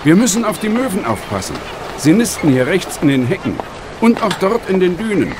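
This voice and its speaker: A man's voice: high-pitched, big pitch swings, fairly quick. An actor playing an assured, cheerful and stubborn older blind man in a wheelchair, in an audio drama. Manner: assured, reassuring, wistful. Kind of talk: German audio drama acting. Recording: noisy outdoor ambience behind.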